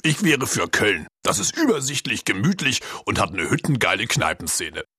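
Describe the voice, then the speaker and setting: A man voicing a gruff, dismissive male dog musician in a storybook reading. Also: on the low side, very animated, moderate pace, sharp tone, dry recording, clean studio sound, full character voice. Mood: grumpy. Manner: growling, dismissive.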